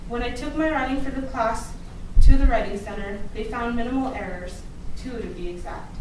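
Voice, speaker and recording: A young woman, a student council co-chair, reads a written testimony aloud at a public university forum in an earnest, steady voice, with small slips and restarts. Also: on the high side. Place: university lecture room at a public event. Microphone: room recorder from a distance. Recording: distant, very echoey room recording with noise.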